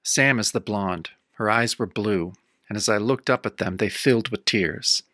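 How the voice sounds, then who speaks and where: A man's voice: lowish voice, normal speed, sharp tone, no room sound, clean studio sound. An actor playing a battered, wry tough-guy hero, narrating his own pulp horror tale in a radio-style audio drama. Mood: wry, weary. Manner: wry, hard-boiled first-person storytelling.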